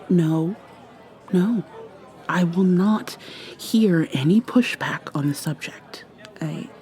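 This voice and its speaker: A woman voicing an ageless, secretive female vampire mermaid in an ASMR roleplay, soft-spoken and often whispering, with halting restarts. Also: on the low side.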